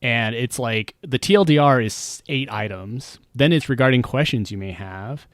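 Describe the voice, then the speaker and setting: A man's voice: mid-range voice, moderate pace, no room sound, clean studio sound. A man chatting casually on a game stream, rambling and wry, often trailing off with um and uh.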